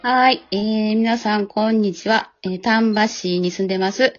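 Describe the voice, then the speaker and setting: A woman's voice: medium pitch, steady tone, normal speed, highs cut off, faint music behind. A middle-aged woman chatting casually as a member of an amateur drama group. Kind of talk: casual chat. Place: at home, remote recording.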